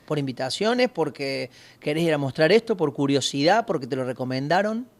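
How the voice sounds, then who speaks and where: Argentine man firing quick interview questions. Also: on the high side, expressive. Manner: probing, quick strings of questions. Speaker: podcast host. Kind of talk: podcast interview.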